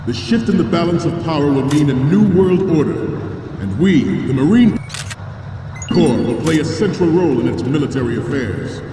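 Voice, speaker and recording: A man's voice: a little high, expressive, normal speed, close to an everyday voice. A man playing a stern, proud military commandant in a video game, delivering a formal, declamatory speech. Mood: stern, resolute. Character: stern, proud male Marine Corps commandant. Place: echoing large hall, heard from far off. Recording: distant, echoey large hall, background noise.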